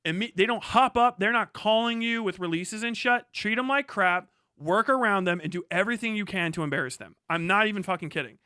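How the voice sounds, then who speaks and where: Bright, high-pitched man, blunt streamer. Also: clean tone, no echo, studio quality. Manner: blunt, profane, emphatic. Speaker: live streamer commenting on local news. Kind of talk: casual opinionated livestream commentary, reading news aloud.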